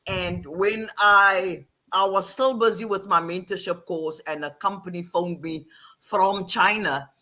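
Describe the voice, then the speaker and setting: A middle-aged woman from the Western Cape with an Afrikaans South African accent, telling her story in animated casual talk on a video call. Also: fairly low pitch, a little slow. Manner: animated, repeats phrases, laughs often. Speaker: guest, online English teacher.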